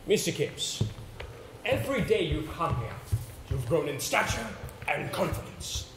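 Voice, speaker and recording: A confident, encouraging theatre mentor, acted by a man, with a fairly high voice. The stage performance is echoey and clearly noisy. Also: sing-song, a little slow, full character voice. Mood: encouraging, earnest. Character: encouraging, sympathetic, confident theatre actor coaching a pupil. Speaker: amateur stage actor. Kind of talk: live stage play acting.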